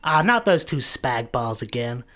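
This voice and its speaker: An actor with a Scottish accent playing an excitable, exasperated spaceship captain in a sci-fi comedy radio play. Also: male voice, mid-range voice, measured pace. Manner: exclamatory, griping.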